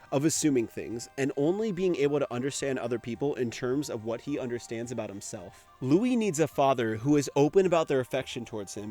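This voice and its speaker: A man's voice: medium pitch, a little mellow. A man giving casual scripted commentary for a video essay, in an informal, conversational way with the odd swear word.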